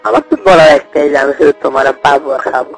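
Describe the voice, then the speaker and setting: A man's voice: fairly high pitch, animated, quick pace. A man with a Bangladeshi Bengali accent chatting casually and intimately on a recorded phone call. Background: music.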